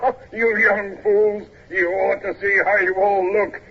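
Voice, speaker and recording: An actor playing an excitable, scornful ship's surgeon in an old radio drama, exclaiming theatrically. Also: male voice, high voice, measured pace, creaky. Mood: mocking glee. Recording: muffled old radio recording with noise.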